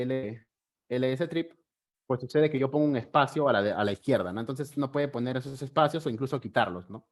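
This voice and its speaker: A Peruvian-accented man leads a live online coding class through a video call. His pitch sits in a middle range for a man, and he explains things patiently while sprinkling in tag questions and hesitations. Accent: Peruvian Spanish. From Peru. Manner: unhurried, ends phrases with tag questions, frequent fillers, occasional throat clearing. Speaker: programming instructor.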